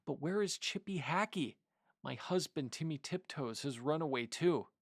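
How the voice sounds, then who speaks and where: A man's voice: fairly high pitch, lively intonation, normal speed, no echo. A worried squirrel wife, played by a man, speaks politely and anxiously. It is a storybook character, recorded dry and close. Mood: apologetic.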